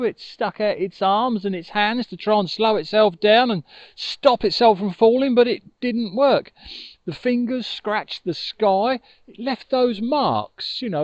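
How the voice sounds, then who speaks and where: Older male storyteller, conversational, lightly high-pitched, slow delivery with dramatic pauses. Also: no room sound, highs cut off. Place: outdoors by a river in open countryside. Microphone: lapel mic with furry windshield.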